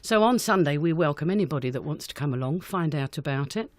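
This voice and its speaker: A woman's voice: low voice, animated, quick pace, a little mellow, dry recording. A woman answering questions in an unscripted radio interview, chatty and enthusiastic as a guest director. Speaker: guest, amateur pantomime director.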